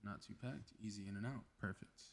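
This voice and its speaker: Low-voiced, gravelly playful superhero played by a man. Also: fairly quick, heavy background noise. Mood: muttering, self-doubting. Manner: teasing, casual banter, muttering to himself. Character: cocky, playful, flirty male superhero, awkwardly eager. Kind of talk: ASMR roleplay audio drama.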